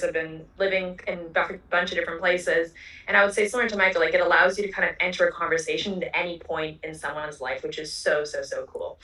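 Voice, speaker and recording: A woman's voice: mid-range voice, sharp tone. A young woman from Hong Kong speaking enthusiastically as a panelist. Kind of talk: panel discussion. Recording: echoey, distant.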